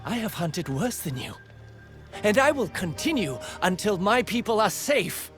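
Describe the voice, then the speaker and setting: A man's voice: fairly high pitch, sing-song, unhurried, fairly bright tone, soft background music. An actor playing an earnest young man who protects his villagers, in a dramatic video game. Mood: defiant.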